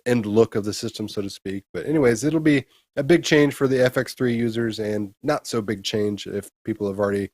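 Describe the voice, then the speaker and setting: A man with a fairly low voice co-hosts a casual gaming news show. He explains updates conversationally, and the recording is dry and close. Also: a little fast, hardly any pauses, darkish tone, dry recording. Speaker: co-host presenting gaming news.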